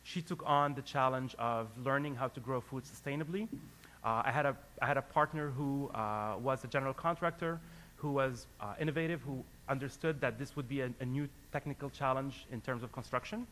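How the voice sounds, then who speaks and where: Man from Lebanon giving a business pitch. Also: fairly quick, fairly bright tone, a little hiss or hum. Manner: earnest, hesitant with many fillers and restarts. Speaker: company founder presenting a live case. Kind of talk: business presentation to an audience.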